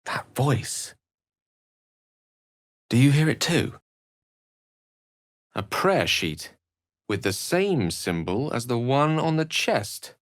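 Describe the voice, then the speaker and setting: An actor voicing a learned, courteous wizard in a video game, with eloquent, courtly phrasing and wry asides. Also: male voice, mid-range voice, big pitch swings, slow pace, long pauses, dry recording, studio quality. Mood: watchful. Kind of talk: video game character voice lines.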